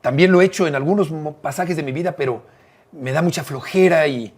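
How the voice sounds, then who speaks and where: Husky-voiced Mexican man, earnest and reflective. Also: fairly high pitch, fairly quick. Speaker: interview guest, radio broadcaster. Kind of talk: warm casual interview talk.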